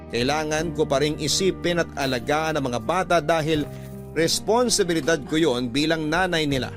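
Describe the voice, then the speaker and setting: A man narrating a first-person confessional letter in a dramatic radio storytelling style. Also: fairly high pitch. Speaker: narrator reading a listener's letter. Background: background music.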